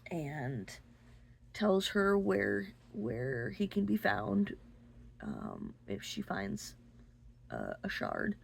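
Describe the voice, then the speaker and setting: A husky voice with plenty of fry, belonging to a woman who takes her time. She talks about books as a host, unscripted and halting, often pausing partway through a phrase. The pitch moves around a good deal even though the delivery is very slow. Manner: halting, gentle pauses mid-phrase. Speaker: book review host. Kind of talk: unscripted book talk to listeners.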